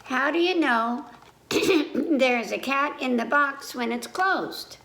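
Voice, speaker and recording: A woman's voice: sits high, takes it slowly, fairly bright tone, heavily acted. A woman voicing a curious, eager monkey from a children's picture book, full of wondering questions. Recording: echoey room, faint background noise.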